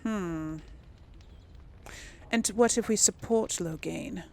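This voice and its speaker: A woman's voice: lowish voice, slow, vocal fry, dark tone. A woman hosting a gaming video, role-playing casually and musing aloud in a hesitant way. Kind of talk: casual role-play reactions over a game.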